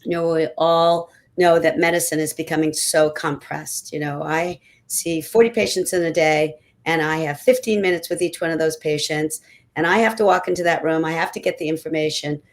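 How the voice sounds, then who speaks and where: A woman in her sixties, a doctor on a panel, talking in an energetic, emphatic way. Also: low voice, moderate pace. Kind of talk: panel discussion answer.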